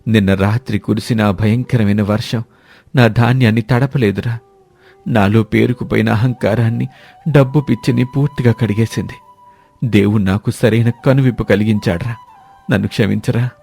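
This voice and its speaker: A man's voice: on the low side, steady tone, normal speed, creaky, warm tone, breathy edge, soft background music. A man playing a proud, rich village elder brother in a moral story, speaking rustic colloquial lines with anguish and emotional pleading. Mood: remorseful. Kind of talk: dramatic moral-story cartoon voice acting.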